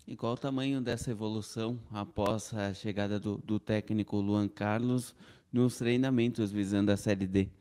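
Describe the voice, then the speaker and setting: A sports reporter asking brief, direct questions at a press conference. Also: male voice, on the low side, steady tone, normal speed, mellow.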